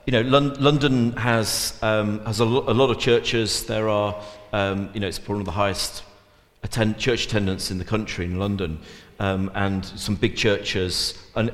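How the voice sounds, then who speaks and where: A man leading a church service, interviewing a guest in a hesitant way full of ums and restarts. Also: mid-range voice. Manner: hesitant, many fillers and restarts. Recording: echoey church hall, long reverb.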